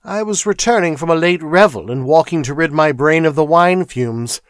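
A man's voice: on the high side, clear, resonant tone, dry recording, clean studio sound, voice pushed up, close to an everyday voice. A man voicing a foppish young nobleman who lisps affectedly and speaks with languid disdain. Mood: haughty. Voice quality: affected lisp. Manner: fastidious, disdainful drawl.

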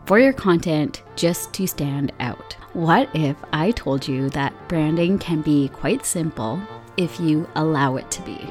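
Hoarse low-voiced woman hosting a podcast. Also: unhurried, hardly any pauses, a little mellow, soft background music. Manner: upbeat, chatty, laughs often. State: hoarse from illness. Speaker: podcast host. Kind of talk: solo podcast talk.

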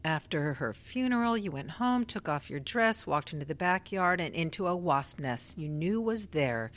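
Lowish-voiced, probing woman researcher played by an actress. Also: animated, a bit bright. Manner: measured, pressing, questioning. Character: probing, composed, insistent female researcher conducting an interrogation. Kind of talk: radio drama acting. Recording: music behind.

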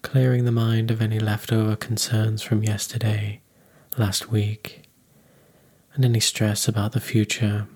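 A man leading a guided meditation in a calm, unhurried, soothing manner. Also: on the low side.

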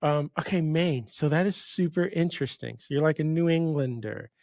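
A man's voice: a little high, normal speed, dry recording, clean studio sound. A man in his fifties from New York hosting a casual podcast interview, telling rambling stories and interrupting himself. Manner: rambling, storytelling, self-interrupting. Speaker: podcast host.

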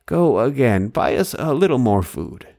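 A man's voice: medium pitch, sing-song, moderate pace, dark tone. An elderly father weighed down by loss answers his sons with sorrow and reproach, as a male narrator reads him. His delivery rises and falls widely. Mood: grieving. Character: grieving elderly father, protective and bitter.

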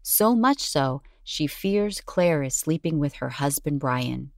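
A woman narrating a scripted educational piece in a measured, articulate way. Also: deep voice, expressive, darkish tone, dry recording, clean studio sound.

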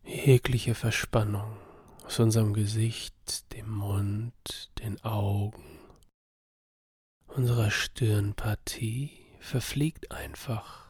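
A man softly narrating a guided body-scan meditation for sleep, soothing and half-whispered, with a breathy voice. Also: fairly low pitch, steady tone, slow pace, no room sound, clean studio sound.

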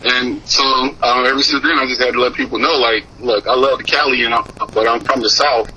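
Bright-voiced man, rambling casual talk. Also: medium pitch, a little fast, slight background noise, rough recording. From Mississippi. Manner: relaxed, rambling, many fillers and restarts. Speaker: guest musician. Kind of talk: casual interview.

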